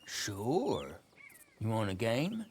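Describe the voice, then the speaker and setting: An actor playing a pushy, goading frontier card player in a dramatic game cutscene. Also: male voice, on the low side, very animated, drawn-out words, darkish tone, a little hiss or hum, exaggerated voice.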